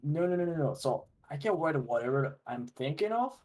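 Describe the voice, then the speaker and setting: Laid-back slangy man, slightly husky. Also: fairly high pitch, lively intonation, fairly quick, darkish tone. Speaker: podcast co-host. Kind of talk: casual podcast chat.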